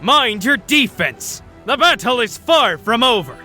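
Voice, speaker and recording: A man's voice: very high voice, very animated, unhurried, sharp tone, faint music behind, exaggerated voice. A man voicing a hearty, boastful Viking dwarf smith, cheerful and battle-hardened, in a fantasy fan dub. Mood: rousing.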